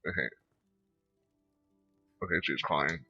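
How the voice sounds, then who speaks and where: A man giving low-key, casual commentary on a video game and reading dialogue choices aloud. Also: slow, pushed and bright, slightly rough, dull audio, soft background music. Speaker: gamer narrating a playthrough.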